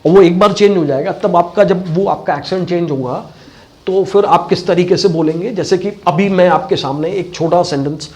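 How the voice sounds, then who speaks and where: Male accent coach, Indian accent, voice a little higher than average, instructive classroom style with deliberate repetition. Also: a little mellow. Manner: didactic, repeats key phrases. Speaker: voice and accent teacher. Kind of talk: bilingual classroom lecture.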